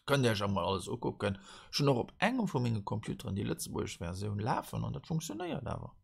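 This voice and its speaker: A man with a Luxembourgish accent and a gravelly voice, hosting a casual retro tech show and reading out old articles with many fillers and restarts. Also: medium pitch, wildly swooping pitch, fast talker. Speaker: host.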